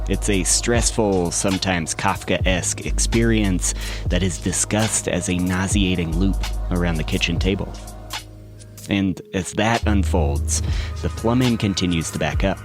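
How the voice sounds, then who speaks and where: A man's voice: low voice, unhurried, over music. A man reading scripted documentary narration as a podcast host.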